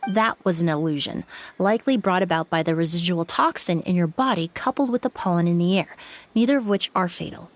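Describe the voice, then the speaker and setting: An actress playing a cool, self-assured young perfumer in a video game, speaking in a composed, precise, matter-of-fact way. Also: female voice, on the low side, a little fast, faint music behind, close to an everyday voice. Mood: calm, analytical. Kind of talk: scripted video game acting.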